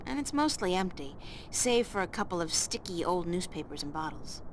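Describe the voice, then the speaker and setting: An actress playing a young woman adventurer in a video game, wry and expressive. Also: lowish voice, moderate pace, slight background noise, low-quality recording. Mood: matter-of-fact. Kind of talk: video game character acting.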